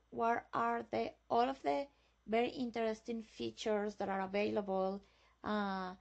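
A woman's voice: a little high, creaky. A woman from Venezuela presenting a tech webinar, enthusiastic and given to repeating words. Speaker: guest speaker presenting a webinar. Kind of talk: presenting slides.

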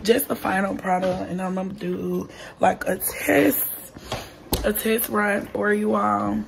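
A young woman with a hoarse, raspy voice chatting casually to viewers while she cooks in her home kitchen. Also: mid-range voice, steady tone, unhurried, background noise. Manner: chatty, talks straight to viewers. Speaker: vlogger. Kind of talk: casual cooking vlog. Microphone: phone camera held close.

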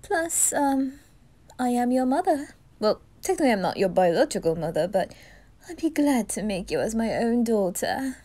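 A woman's voice: fairly high pitch, very animated, moderate pace, warm tone. A woman voicing a flamboyant, doting, motherly reaper in an ASMR fan roleplay, gushing with endearments.

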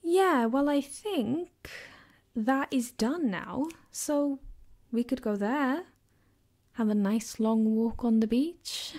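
A woman playing a caring, affectionate girlfriend in an ASMR comfort roleplay, speaking softly and gently with hesitant, reassuring warmth. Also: fairly high pitch, slow. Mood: playful, relaxed. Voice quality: soft, gentle, sometimes dropping to a whisper.

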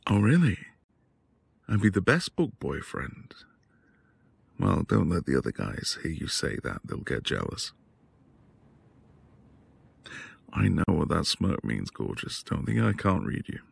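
A man acting as a devoted, flirtatious husband in an intimate ASMR roleplay, breathy and affectionate, often dropping toward a whisper. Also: low-pitched, huge pitch swings, heavily acted. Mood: teasing, affectionate.

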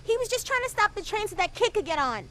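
A woman's voice: extremely high pitch, sing-song, fast talker. A woman voicing an anxious, caring young girl in a video game, with an urgent, pleading manner. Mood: determined. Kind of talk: video game cutscene acting. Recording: distant, noisy train ambience.

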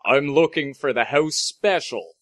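A man's voice: mid-range voice, a little slow, no echo, natural voice. Man as a bemused, very tall drinker, dry sound. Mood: matter-of-fact. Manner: slightly bemused, casual. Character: very tall male alcohol taster, unfazed, matter-of-fact. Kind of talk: comic storytelling character voice.

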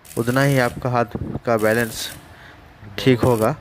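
A man's voice: mid-range voice, slow pace, rough recording. Male workshop voice-over, level and matter-of-fact, explains step by step, slight background noise. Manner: plain, steady, explains step by step. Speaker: welder demonstrating a technique. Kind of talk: casual how-to voice-over.

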